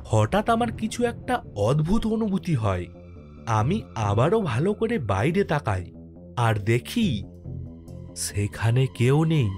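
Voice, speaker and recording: A man playing an easygoing village postmaster who narrates his own ghost story in an expressive, dramatic way for a horror cartoon. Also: medium pitch, slow. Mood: uneasy, suspenseful. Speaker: first-person narrator and lead character. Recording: music under the voice.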